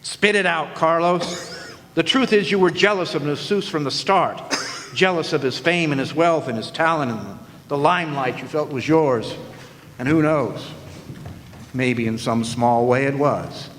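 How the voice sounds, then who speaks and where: Guy acting wisecracking noir detective for radio theatre, theatrical, slightly high voice, echoey hall. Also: measured pace, a bit bright, slight background noise. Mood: accusing, cocky. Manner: theatrical, sardonic, slangy delivery. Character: wisecracking, cocky hard-boiled male private detective. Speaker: narrator and lead actor in radio play. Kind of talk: hard-boiled detective radio play acting. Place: live radio play in a hall. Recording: live stage, long hall reverb.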